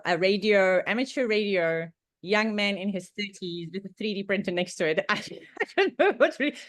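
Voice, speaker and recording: A woman's voice: mid-range voice, a bit bright, no room sound. Woman, Czech accent, chatty presenter, laughs often, lots of ums, dry close sound. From Czech Republic. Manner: chatty, laughs often, many fillers and restarts. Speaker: guest presenter. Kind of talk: online club presentation. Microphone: video call.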